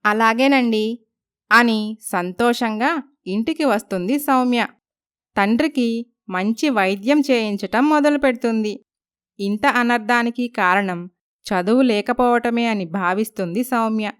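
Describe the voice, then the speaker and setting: A woman's voice: on the high side, unhurried, smooth. A woman reading scripted narration for a moral story.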